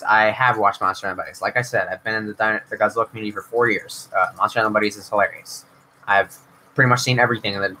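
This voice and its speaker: A man's voice: lowish voice, bright, forceful tone, a little hiss or hum, poor audio. A young man hosting his own channel, answering viewer questions in a casual livestream, rambling with lots of restarts. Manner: rambling, filler-heavy, frequent restarts. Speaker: YouTube channel host.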